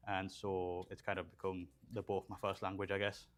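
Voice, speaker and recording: Young male streamer, lower voice, casual and loose, lots of fillers, small studio. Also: completely flat delivery, moderate pace. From Poland, raised in England. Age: young adult. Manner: chatty, rambling with fillers, reads chat aloud. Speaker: livestream host building gaming PCs. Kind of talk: casual livestream chat. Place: small studio room with shelves.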